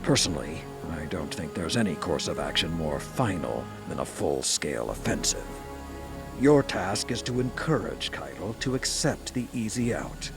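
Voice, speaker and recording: An actor playing a stern, blunt, war-hungry military commander in a video game, speaking gravely and emphatically. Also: male voice, low-pitched, sing-song, normal speed, full character voice. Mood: resolute. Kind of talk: scripted video game dialogue. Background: music.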